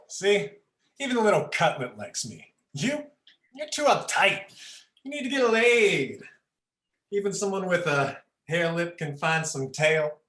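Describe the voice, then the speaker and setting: A man's voice: sits high, sing-song, measured pace, fairly bright tone, echoey room, exaggerated voice. A man voicing a cocky, lewd, bouncy tiger in an adult comedic play reading, brash and teasing. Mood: gleeful, taunting. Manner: brash and teasing, laughs at own jokes.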